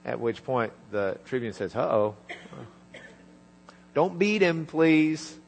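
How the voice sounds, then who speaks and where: A man preaching a sermon, retelling a scripture story in a conversational way and quietly acting out the lines of its people. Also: mid-range voice, animated, slow pace, vocal fry. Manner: conversational, acts out dialogue in quiet asides. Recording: reverberant hall with mains hum.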